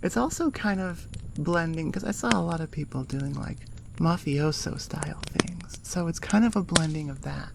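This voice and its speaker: A woman's voice: low voice, expressive, moderate pace, vocal fry, heavy background noise. A woman audio creator chatting casually to her listeners in an informal, self-deprecating, rambling way. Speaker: ASMR audio creator and host.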